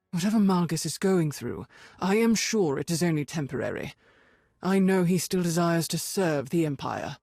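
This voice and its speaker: A woman's voice: fairly low pitch, a little mellow, dry recording, clean studio sound. A woman playing a stern, sceptical Imperial Sith officer in a video game, formal, curt and disapproving.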